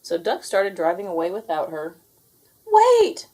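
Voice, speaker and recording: A woman reading a children's story aloud with expressive, theatrical delivery. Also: lowish voice, moderate pace, vocal fry. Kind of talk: animated scripted read-aloud narration.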